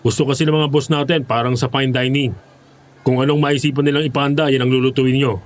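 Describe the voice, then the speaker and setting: A man's voice: medium pitch, little pitch movement, quick pace, natural voice. Man acting a curt, bossy Filipino boss. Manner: curt, commanding. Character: gruff, evasive, bossy man herding hired cooks.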